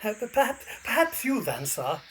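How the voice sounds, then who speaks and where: Woman doing preachy argumentative minister man, period drama, highish, lots of swing, faint noise. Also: huge pitch swings, normal speed. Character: zealous, haranguing male Baptist minister. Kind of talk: period audio drama acting.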